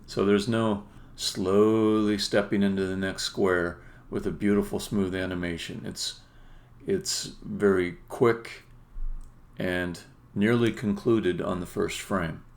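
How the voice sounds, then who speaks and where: Guy w a low gravelly voice doing a casual game dev stream, kinda halting, pauses mid sentence. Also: flat delivery. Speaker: game developer, stream host. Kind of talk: casual live demo commentary.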